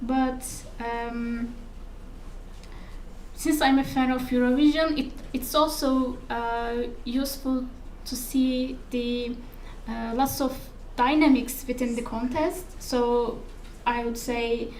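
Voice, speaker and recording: A woman's voice: fairly high pitch, steady tone, slow, a little mellow. A Turkish-accented woman answering as a conference panelist, hesitant and searching for her words in English. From Turkey. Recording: echoey room, distant mic, faint noise.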